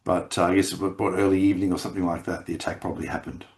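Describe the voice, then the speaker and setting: Croaky man, deep voice. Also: fairly even intonation, rapid-fire, a little mellow. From Australia. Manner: rambling with many restarts. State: croaky from laryngitis. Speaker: podcast co-host. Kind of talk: casual chat. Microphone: video call.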